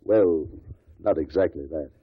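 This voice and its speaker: An actor playing a guarded stockbroker who gives measured, matter-of-fact testimony in a 1950s radio drama. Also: male voice, extremely low pitch, slightly rough, dry recording, full character voice. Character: guarded businessman, male stockbroker giving testimony. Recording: tinny, dry old radio recording.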